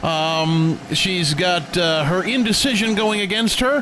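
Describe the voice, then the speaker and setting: A man co-hosting a casual political banter podcast, sarcastic with a deadpan, mock-newsy delivery. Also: high voice, unhurried, noisy background. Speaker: co-host.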